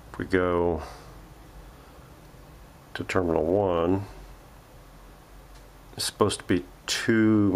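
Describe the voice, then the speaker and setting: A man's voice: low voice, gravelly. A man giving a casual, unscripted hands-on workshop demonstration, thinking aloud as he works. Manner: thinking aloud while working. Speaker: host of a radio repair vlog. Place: home workshop.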